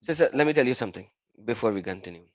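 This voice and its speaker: A man whose first language is Urdu, hosting a live call-in stream in casual English. He explains with many fillers and an animated delivery. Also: medium pitch, racing, no echo, highs cut off.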